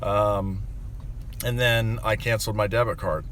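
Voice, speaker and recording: Low-voiced man venting, rambling. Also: steady tone, unhurried, a bit bright, a little hiss or hum. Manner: rambling and aggrieved, many fillers. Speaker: landscaping business owner. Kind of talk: casual monologue to camera.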